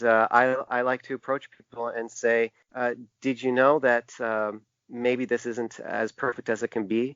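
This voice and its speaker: A man's voice: medium pitch, fairly bright tone, clean tone, no echo. A man answering interview questions at length in a conversational, hesitant way, as a podcast guest. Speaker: podcast guest, typographer and graphic designer. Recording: dull, lo-fi, dry podcast audio.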